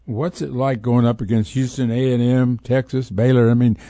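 Dark-toned man co-hosting sports radio. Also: mid-range voice, little pitch movement, moderate pace, no echo. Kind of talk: casual interview questioning.

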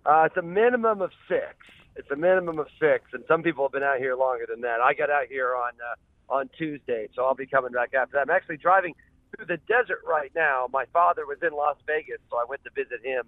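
Over a narrow, tinny phone connection, a man talks as a radio interview guest. He is chatty and emphatic, rambling through his answers in a mid-pitched, dry-sounding voice. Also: fast talker, no room sound. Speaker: sports columnist, show guest. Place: calling from a car.

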